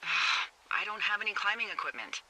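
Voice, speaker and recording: An American actress playing a sardonic, anxious female fire lookout in a video game, with a blunt, expressive delivery. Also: mid-range voice, moderate pace, background noise, close to an everyday voice. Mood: dry, curious. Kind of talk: naturalistic video game voice acting.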